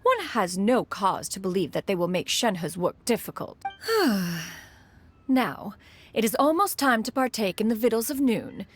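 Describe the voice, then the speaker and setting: A woman's voice: medium pitch, expressive, measured pace, husky. An actress voicing a proud, aloof immortal woman in a video game, speaking in formal, archaic, haughty phrases. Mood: stately.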